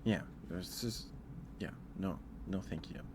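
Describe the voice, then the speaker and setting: A man's voice: sits low, measured pace, noisy background. A man hosting a casual ASMR livestream, talking in a rambling, hesitant way and often repeating his phrases. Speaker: livestream host.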